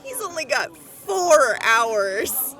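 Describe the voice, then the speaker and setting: A woman's voice: high voice, sing-song, extremely slow, sharp tone, heavily acted, rough recording. A woman voicing a cheerful, gossipy clerk going over paperwork in an audio drama. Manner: bubbly and gossipy.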